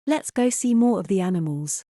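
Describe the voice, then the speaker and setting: A woman playing a sensible, skeptical young mother in an audio drama, talking in a measured, firm way to a small child. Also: mid-range voice, animated, warm tone, no room sound, studio quality, lightly acted. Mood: leading, reasoning.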